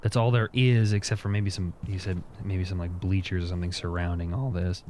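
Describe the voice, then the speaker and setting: Low-voiced man at a game, noisy background. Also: little pitch movement, a little fast, darkish tone. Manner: thinks aloud, asks to confirm details. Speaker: player in a tabletop role-playing game. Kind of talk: casual game chat, slipping into in-character speech.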